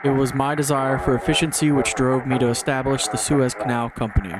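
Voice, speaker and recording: A man's voice: medium pitch, steady tone, fairly quick, over a noisy crowd, close to an everyday voice. A man playing a solemn nineteenth-century diplomat and canal developer, speaking formally and grandly in an analog horror monologue.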